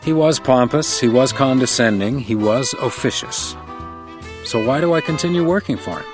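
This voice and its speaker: An actor in a radio drama playing a shrewd, composed hotel house detective, speaking and narrating in a measured, wry way. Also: male voice, mid-range voice, expressive, music in the background, natural voice. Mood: reflective.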